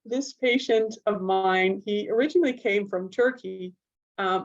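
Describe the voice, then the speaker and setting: A woman's voice: medium pitch, darkish tone. A woman from the Netherlands, a native Dutch speaker with a Dutch accent, giving a semi-spontaneous academic talk as a clinician, explaining with examples. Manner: explanatory, illustrates points with examples. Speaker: clinical neuropsychologist giving a lecture. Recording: dull, lo-fi audio.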